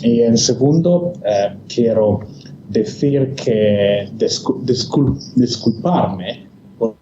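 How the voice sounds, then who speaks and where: A man lecturing in a language that is not his native one, pausing often on fillers and restarts over a video call. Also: mid-range voice. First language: not Spanish. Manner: hesitant, many fillers and restarts. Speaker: guest speaker. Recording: echoey, muffled, noisy video call line.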